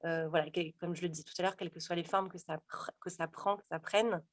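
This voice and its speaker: A woman in her thirties speaks in a fairly low voice, searching for words and restarting her sentences often. The sound is dry and close, with no room echo. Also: steady tone, fast. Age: 30s. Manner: hesitant, many fillers and restarts. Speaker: guest, sophrologist and stage actor. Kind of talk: casual interview talk.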